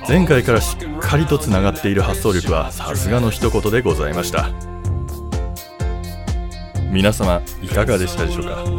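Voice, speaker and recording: Loud music plays under a man with a fairly low voice. He presents as a radio host, reading in a polite, formal, scripted way. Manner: formal, courteous. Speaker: programme host. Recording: loud music bed over voice.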